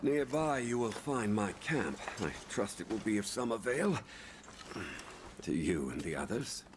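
An actor playing a solemn, courteous veteran hunter in a video game, speaking formally with a gravelly voice. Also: male voice, fairly low pitch, sing-song, background noise. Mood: calm, warm. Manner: formal, measured, old-fashioned phrasing. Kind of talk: scripted video game cutscene dialogue. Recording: music under the voice.

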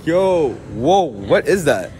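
A young man asking passers-by quick questions outdoors, teasing and playful, into a handheld mic. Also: on the high side, sing-song, dark tone, slight background noise. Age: young adult. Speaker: street interviewer. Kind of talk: casual street interview questions. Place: outdoors on a beach promenade and street.

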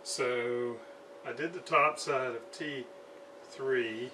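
Male hobbyist demonstrator, unhurried and hesitant, off-mic with some faint background noise. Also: medium pitch, fairly even intonation, far from the mic. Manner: halting, hesitant, thinking aloud. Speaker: radio restorer, video host. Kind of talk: casual hands-on demonstration talk. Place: indoor home workshop at a desk.

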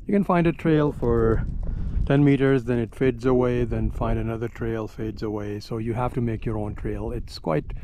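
A man filming his mountain hike, describing the route in halting casual speech with many fillers. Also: medium pitch, fairly even intonation. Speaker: hiker filming his climb. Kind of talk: casual narration while hiking. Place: outdoors on a windy mountain. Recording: dull lo-fi sound with loud wind noise.

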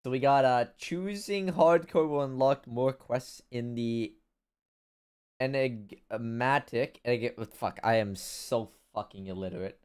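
A young man live-streaming a video game on a headset mic, swearing often and reacting loudly. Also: takes it slowly, clean tone. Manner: swears a lot, loud and jumpy pitch. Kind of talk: casual gaming chat.

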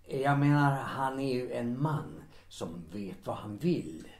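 A man's voice: mid-range voice, expressive, natural voice. A man playing an awkward, deferential chauffeur in a 1930s audio drama, with hesitant, stammering politeness. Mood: uneasy. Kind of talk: Swedish audio drama acting. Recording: roomy, echoing interior sound.

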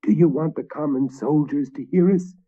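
A man voicing a stern knight who gives orders in a raspy undertone, in a dull lo-fi recording. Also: fairly high pitch, moderate pace, voice pushed up, close to an everyday voice.

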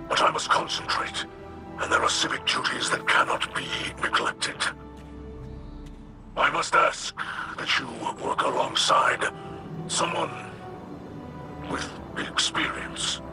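A man voicing a solemn, noble and fatherly alien leader in a video game, gravelly and formal, choosing words deliberately. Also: a little high, close to an everyday voice. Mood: grave, pressing. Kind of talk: scripted video game dialogue. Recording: music under the voice.

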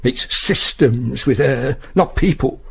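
An actor playing a British senior clerk with a husky voice, deferential and prickly, in a radio drama. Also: male voice, medium pitch, slow pace, full character voice. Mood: aggrieved. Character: aggrieved, deferential male senior clerk of chambers.

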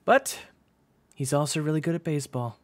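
A man's voice: on the high side, normal speed, no room sound, pitched above the performer's own voice, lightly acted. A man voicing a teenage girl in a storybook, a loyal friend who is earnest, sassy and teasing.

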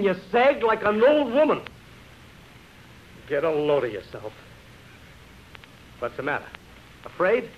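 A fed-up show-business agent in an old radio play. He is blunt, streetwise and emphatic, with a highish voice that swings widely, on a dull recording. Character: blunt, exasperated male theatrical agent, worn out and scathing. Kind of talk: 1930s radio drama acting. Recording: muffled, noisy vintage radio recording.

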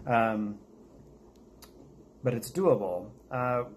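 A man's voice: mid-range voice, extremely slow. An American man chatting casually to viewers as the host of a vlog. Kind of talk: casual chat to camera. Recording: steady noticeable background noise.

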